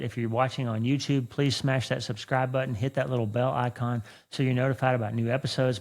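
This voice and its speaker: A man hosting a podcast in a conversational, earnest, effusive and folksy way, with a creaky voice. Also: mid-range voice. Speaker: podcast host. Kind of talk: conversational interview and podcast talk.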